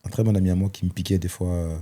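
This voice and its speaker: A man, telling his life story as a guest, thoughtful and hesitant with many pauses and fillers. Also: sits low, fairly even intonation, dark tone. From Belgium. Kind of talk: personal testimony in conversation.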